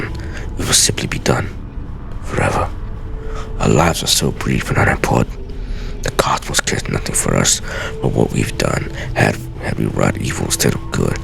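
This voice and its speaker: Aging video game master assassin, acted by a man: rock-bottom pitch, heavy gravelly fry, solemn, weary, measured journal delivery. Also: a bit bright, heavily acted. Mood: weary, brooding. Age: older. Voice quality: very deep, gravelly. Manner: grave, measured, theatrical dramatic reading.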